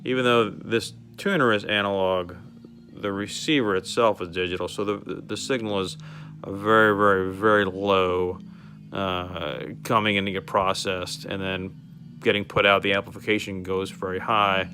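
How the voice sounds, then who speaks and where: Low-voiced man giving an informal demo. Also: fairly even intonation, moderate pace, bright, forceful tone, a little hiss or hum. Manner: explanatory, step-by-step. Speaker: car radio restorer demonstrating a converted radio. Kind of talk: informal product demonstration to a customer.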